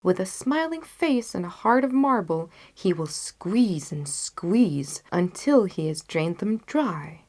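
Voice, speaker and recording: A woman's voice: lowish voice, very animated, slow, hardly any pauses, a little mellow, heavily acted. A woman playing an intense, disgusted male detective in an audiobook, speaking in an emphatic, declamatory way. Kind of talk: audiobook character dialogue.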